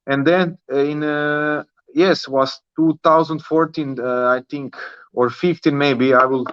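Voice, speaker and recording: A man in his early thirties with a Croatian accent, speaking casually in halting non-native English as a podcast guest, repeating and rephrasing himself. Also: fairly high pitch, fairly even intonation, a bit bright, smooth. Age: early 30s. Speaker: guest, professional footballer. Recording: roomy, slightly dull sound.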